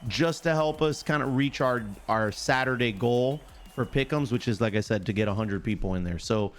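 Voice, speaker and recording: A man's voice: medium pitch, normal speed. A man hosting a live sports stream, chatting casually in chummy slang, greeting viewers by name and repeating himself.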